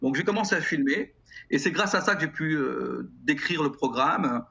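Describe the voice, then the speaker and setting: A middle-aged man with a French accent answers interview questions earnestly, halting and repeating words as he thinks. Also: a little high, expressive, vocal fry, pushed and bright, slightly rough. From France. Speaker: guest, music educator. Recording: slightly dull broadcast sound.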